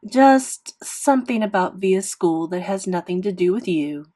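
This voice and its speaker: A woman narrator plays a mother in a storybook. She sounds measured and restrained at an ordinary female pitch, placating her children as she reasons with them. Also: animated, dark tone.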